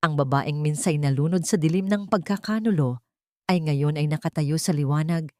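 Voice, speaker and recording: Lady narrating a dramatic story, lowish voice, really dry recording. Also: moderate pace, dark tone, dry recording.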